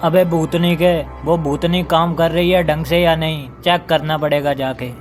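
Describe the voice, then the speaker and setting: A man's voice: on the high side, fairly even intonation, normal speed, close to an everyday voice. A man playing a commanding, theatrical figure in a dramatized children's horror story. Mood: bossy. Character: exorcist priest chanting mantras, and scheming gang boss. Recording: music behind the voice. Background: music.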